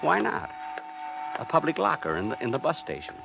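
A man's voice: on the high side, huge pitch swings, brisk, vocal fry, exaggerated voice. An actor playing a frantic everyman on the run, narrating a 1950s radio thriller with tense, dramatic phrasing. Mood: tense, panicked. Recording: dull old 1951 radio recording, loud music.

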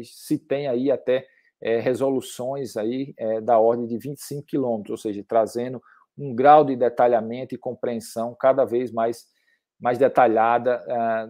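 A middle-aged Brazilian man giving an online academic lecture, haltingly, repeating words as he builds his sentences. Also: mid-range voice, warm tone. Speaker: climate researcher, guest lecturer.

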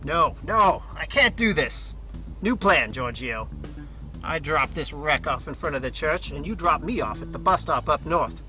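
A man's voice: on the high side, lively intonation, measured pace, bright, forceful tone, faint music behind, full character voice, rough recording. An actor in his thirties playing a weary, judgmental priest in a campy game cutscene, formal and genteel in his exasperation. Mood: resigned, guilty. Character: weary, judgmental runaway priest fretting over his duty.

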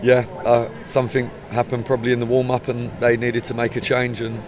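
English man in his 40s, football manager, level and even delivery, talks slowly with restarts, outdoor pitchside, handheld mic, faint traffic hum behind. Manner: measured, hesitant, many restarts. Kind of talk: post-match interview. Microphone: handheld mic held up close.

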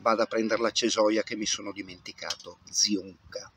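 A man's voice: fairly low pitch, steady tone, normal speed. A man in his fifties talking through a casual hands-on tutorial, half to himself, outdoors on a camera microphone. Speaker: amateur radio hobbyist demonstrating antenna tuning. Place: open grassy field outdoors. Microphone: camera mic, varying distance. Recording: noisy outdoor recording with clicks.

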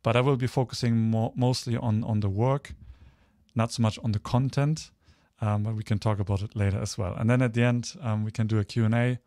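A man's voice: lowish voice, fairly even intonation, fairly quick, dark tone, dry recording, clean studio sound. A man in his thirties from Germany giving an informal online slide presentation, hesitant and often searching for words. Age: 30s. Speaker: guest speaker, photographer.

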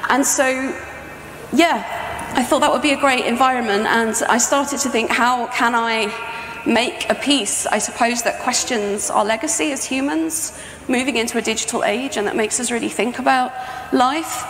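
A middle-aged woman gives an informal talk in a conversational, explanatory way. Her voice sits fairly high, and it rings in an echoey hall with faint noise behind it. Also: normal speed, a bit bright. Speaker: sound artist presenting a project. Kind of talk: conference talk, informal presentation. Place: indoor talk venue with projection screen, on stage.

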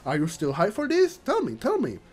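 A man's voice: high-pitched, wildly swooping pitch, a little fast, darkish tone, slightly rough. A man hosting a casual commentary vlog, stuffy and congested from allergies, rambling and restarting his sentences, with English that is not his first language. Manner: rambling, repeats himself, frequent restarts. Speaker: channel host.

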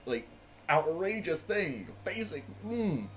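A man in his thirties runs a tabletop game over a headset from a small room at home. He talks in a loose, rambling way, full of fillers and quick laughs. A faint hiss sits underneath his voice. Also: on the high side, sing-song. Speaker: game master. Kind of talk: running a tabletop game over a video call.

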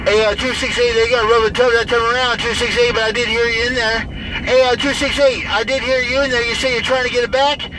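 A man's voice: very high-pitched, fairly even intonation, quick pace. A man CB radio operator bantering in a showy, repetitive way, chanting call signs and catchphrases. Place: inside a moving vehicle. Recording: noisy in-car recording, distant voice.

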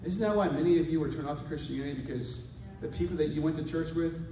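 A man preaching a lively sermon, swinging from quiet asides to shouted exhortation and acting out quoted voices. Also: a little high, quick pace, crowd noise. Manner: acts out quoted voices for laughs. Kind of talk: lively sermon with congregation responses. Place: large church hall. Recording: echoey hall, far from mic, congregation. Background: congregation responding.

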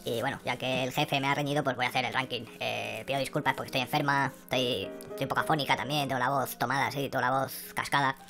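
A man voicing a hoarse, flustered female assistant, who rambles and then reads out rankings. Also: mid-range voice, fairly even intonation, quick pace, hardly any pauses, music in the background, natural voice. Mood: apologetic. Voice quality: hoarse, croaky.

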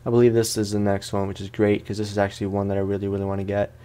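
A man's voice: fairly low pitch, level tone, racing, warm tone, smooth. A man doing casual let's play commentary, talking in an informal, slangy way and often repeating his phrases. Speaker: gaming streamer.

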